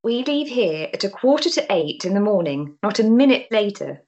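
A woman playing a forthright, compassionate gentlewoman in a period drama, speaking in a direct, firm manner. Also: mid-range voice, sing-song, moderate pace. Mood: brisk. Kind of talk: period audio drama acting.

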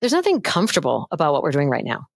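A middle-aged woman voice coach chatting as a guest on a remote interview, animated and playful, demonstrating voice techniques. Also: fast, gravelly, slightly rough, no room sound, studio quality. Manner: animated and playful, demonstrates pitch changes with her own voice. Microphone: headphones with mic, remote call.

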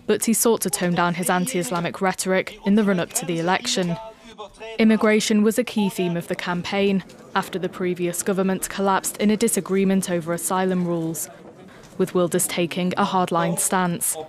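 A woman's voice: lowish voice, fairly even intonation, fairly quick. A woman narrating a scripted news report as a broadcast reporter.

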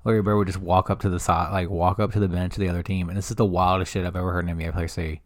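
Low-voiced man telling animated stories. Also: racing. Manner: animated storyteller, swears freely. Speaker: podcast co-host. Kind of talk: casual chat.

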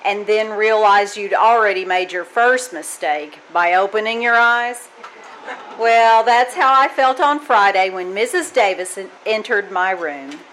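A woman playing a wry, weary schoolteacher with a deadpan, sardonic delivery in an old-time radio comedy. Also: medium pitch, a little slow, low-quality recording. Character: wry, weary female schoolteacher with dry wit. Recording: faint noise, live stage performance.

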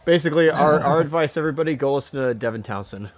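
A man co-hosting a podcast in casual banter, with a halting, stammering delivery. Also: on the high side, lively intonation, no echo. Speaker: podcast co-host.

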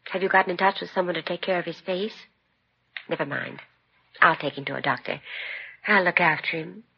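A woman's voice: fairly low pitch, brisk, vocal fry, husky. An actress playing a domineering, fussing middle-aged mother in a 1950s radio drama. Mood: anxious, cooing. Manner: fussing, imperious, cooing over her son. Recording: muffled, noisy 1950s radio broadcast with clicks.

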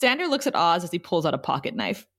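A woman's voice: fairly low pitch, very animated, fast talker, a bit bright. A woman narrator reading the stage directions of a script at an informal table read.

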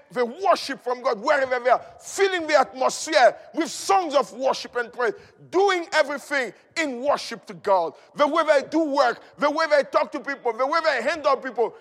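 A male preacher delivers a fervent sermon in a very high voice that rings with long hall reverb. His delivery is declamatory and emphatic, built on repeated key phrases. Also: fairly bright tone.

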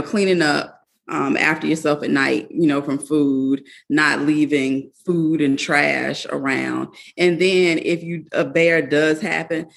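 Chatty woman with a gravelly edge. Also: low-pitched. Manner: chatty, laughs often, hesitant fillers. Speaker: guest, camper. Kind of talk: video call chat. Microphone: video call.

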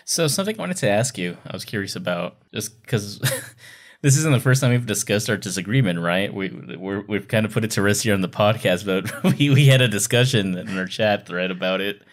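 A man's voice: lowish voice, a little fast. A man co-hosting a podcast, chatting casually about books. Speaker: podcast co-host. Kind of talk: casual book discussion podcast.

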